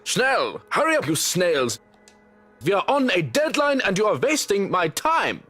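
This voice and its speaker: A man's voice: high-pitched, wildly swooping pitch, a little slow, fairly bright tone, faint music behind, full character voice. A man playing a stern, suspicious butler in a game, with a German accent and an imperious, formal, scolding manner. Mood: impatient, barking. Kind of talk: visual novel game voice acting.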